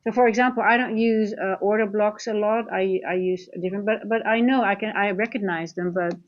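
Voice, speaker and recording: A woman with a Dutch accent hosts a casual livestream, speaking conversationally to her viewers. Her voice sits at a medium pitch for a woman. The audio is dull and lo-fi, lacking some detail. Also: fairly even intonation, brisk. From Netherlands. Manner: informal, conversational, addressing chat directly. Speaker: livestream host, trading coach.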